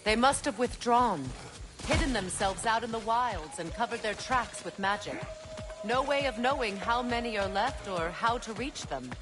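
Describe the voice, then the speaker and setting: An actress playing a proud, stern, exiled goddess in a video game, speaking formally with clipped, commanding authority. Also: female voice, a little high, animated, moderate pace, natural voice. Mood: bitter, sardonic. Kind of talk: video game character acting. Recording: loud game music behind.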